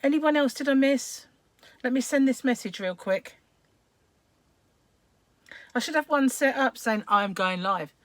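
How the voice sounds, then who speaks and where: Croaky woman chatting on a livestream. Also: high voice, expressive, measured pace, long pauses, dry recording. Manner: chatty, greets viewers by name. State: croaky, clearing her throat. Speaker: crochet livestream host. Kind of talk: casual live-stream chat while crocheting.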